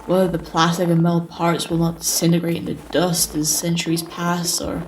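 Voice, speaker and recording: Male actor as jaded post-apocalyptic survivor, sardonic, deliberate storytelling, sighs, music underneath. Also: on the high side, completely flat delivery, natural voice, rough recording. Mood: solemn, hopeful. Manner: wry, sighing, deliberate. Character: weary sarcastic male survivor narrating the apocalypse. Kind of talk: dramatic scripted narration. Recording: music behind the voice.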